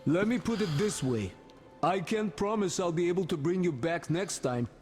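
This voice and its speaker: An actor voicing a grave doctor in a video game drama, admonishing in a measured, reproachful way. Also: male voice, high voice, expressive, over music.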